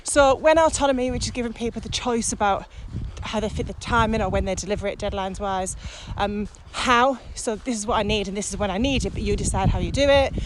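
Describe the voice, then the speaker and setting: A woman's voice: mid-range voice, fairly quick, few pauses. A woman co-hosting a casual podcast, explaining ideas in conversation while out walking. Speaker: podcast co-host. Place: outdoors, on a village walk. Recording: noisy outdoor recording with ambient sound.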